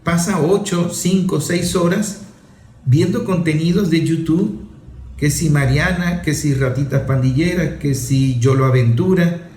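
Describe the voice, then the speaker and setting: Man, 40s, slightly high voice, emphatic conversational commentary with repetition. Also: a little slow, a little mellow, reverberant, a little hiss or hum. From Venezuela. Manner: emphatic, repeats and lists for effect. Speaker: father and author speaking on a talk show.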